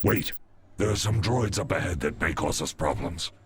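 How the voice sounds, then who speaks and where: An actor playing a grave, loyal right-hand man in a video game, gravelly, with formal, businesslike speech. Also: deep voice, expressive, moderate pace, slight background noise. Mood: urgent, dutiful. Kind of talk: dramatic video game acting.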